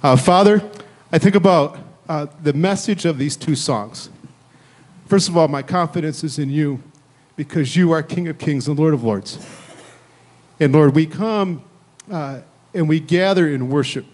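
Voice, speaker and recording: A man with a fairly high voice prays aloud before a church congregation. He speaks earnestly and declaratively, as a worship leader would. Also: a little slow, a little mellow. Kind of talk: spontaneous public prayer. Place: church sanctuary, indoors.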